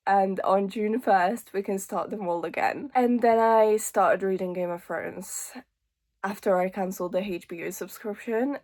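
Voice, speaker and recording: A woman's voice: mid-range voice, darkish tone. Casting: woman, early twenties, from Hungary, chatty lifestyle vlogger, mid-range voice, informal and giggly delivery. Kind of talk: casual chatty vlog to camera.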